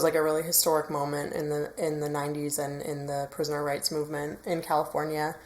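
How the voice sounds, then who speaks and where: A woman's voice: low voice, vocal fry, a little mellow, slight background noise. A woman interview guest who volunteers as an organizer, explaining things step by step in an informal way.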